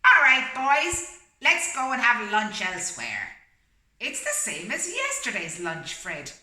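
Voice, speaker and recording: A woman's voice: a little high, huge pitch swings, takes it slowly, sharp tone, pitched above the performer's own voice, full character voice. A woman voicing a flock of cheeky, teasing seagulls in a read-aloud storybook. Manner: teasing, mocking. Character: gulls, cheeky squabbling seabirds. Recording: echoey room, far from mic.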